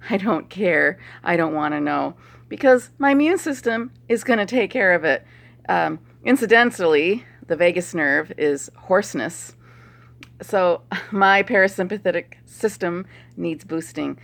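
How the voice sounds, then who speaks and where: A middle-aged woman chiropractor with a hoarse voice and a stuffy nose, chatting opinionatedly to a phone camera and laughing as she talks. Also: medium pitch, measured pace, fairly bright tone. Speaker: chiropractor vlogger. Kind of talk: casual talk to camera.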